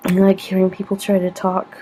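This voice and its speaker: A woman's voice: mid-range voice, completely flat delivery, a little fast, warm tone, slight background noise, poor audio. A young woman vlogging at home, rambling casually with a giggle, sniffly from sneezing. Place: at home in front of a screen.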